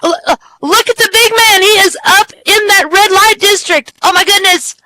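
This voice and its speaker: A commentator doing lively play-by-play for a wrestling show who counts pins aloud and signs off the broadcast. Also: extremely high pitch, moderate pace, slight background noise.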